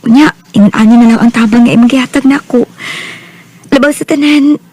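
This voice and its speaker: Actress as high-voiced, shy young Cebuano woman. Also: fairly quick, dark tone, no room sound, close to an everyday voice. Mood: grateful. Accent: Cebuano Filipino. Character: Karen, shy, grateful, hardworking young provincial woman. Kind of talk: Cebuano radio drama acting.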